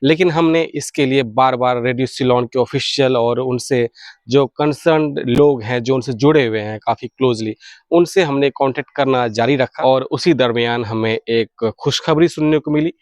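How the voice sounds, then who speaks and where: A man's voice: medium pitch, normal speed, no echo. A man with an Indian accent giving an informal update to viewers as a channel host, explaining and rephrasing his points as he goes. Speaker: video channel host sharing radio hobby news.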